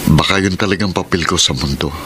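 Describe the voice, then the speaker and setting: A man's voice: low-pitched, quick pace, noisy background, natural voice. A Filipino actor playing a heartbroken, self-sacrificing man, sorrowful and earnest, in a radio drama. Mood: wistful, resigned. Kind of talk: radio drama acting and narration. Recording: loud music behind the voice.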